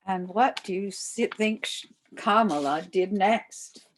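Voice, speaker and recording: An older woman reading a children's storybook aloud as a volunteer, with expressive delivery aimed at young children. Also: medium pitch, slow pace, a little hiss or hum.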